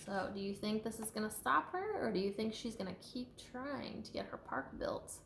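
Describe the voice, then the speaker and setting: A woman reading a children's picture book aloud with expressive emphasis and chatty asides to listeners. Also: medium pitch, moderate pace, gravelly, slight background noise. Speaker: storybook reader. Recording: echoey room.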